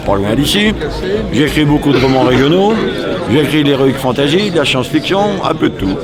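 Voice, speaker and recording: Casual male novelist amid loud crowd. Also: fairly high pitch, lively intonation, a little fast. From Vosges, France. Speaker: regional and fantasy novelist. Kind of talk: casual self-introduction. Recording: loud crowd around speaker.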